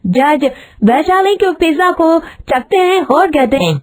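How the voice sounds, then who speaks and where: High-pitched woman narrating a story. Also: animated, measured pace.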